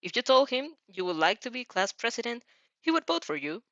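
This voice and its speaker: A woman's voice: on the high side, sing-song, brisk, sharp tone, no room sound, highs cut off. A woman narrating fan fiction expressively as an amateur reader, sometimes stumbling over words.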